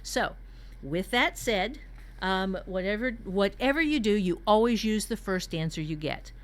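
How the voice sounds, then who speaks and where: A woman's voice: mid-range voice, lively intonation, measured pace. A woman teaching a writing workshop in a conversational way, candid and joking as she explains. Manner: candid, jokey, mildly profane.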